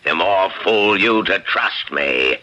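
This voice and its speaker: A gloating, malevolent ghost from the past in an old radio play. His voice is piercing and swings grandly up and down, with slow, savoring delivery and theatrical scorn. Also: sits low, expressive, sharp tone, full character voice. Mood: gloating, scornful. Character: evil, gloating ghost of a swordsman ancestor. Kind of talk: old-time radio horror acting.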